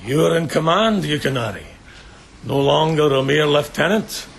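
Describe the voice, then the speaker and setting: An actor playing a sadistic bandit chieftain in a radio drama, theatrical and mocking, relishing every word with menace. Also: male voice, fairly high pitch, lively intonation, normal speed, gravelly, a little hiss or hum. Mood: sneering, taunting. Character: gloating, sadistic bandit chieftain taunting bound captives.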